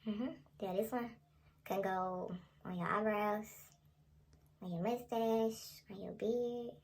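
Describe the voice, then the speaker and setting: A woman's voice: mid-range voice, fairly even intonation, takes it slowly. A young woman vlogger talking casually in a playful, slangy way, as in an ASMR role play.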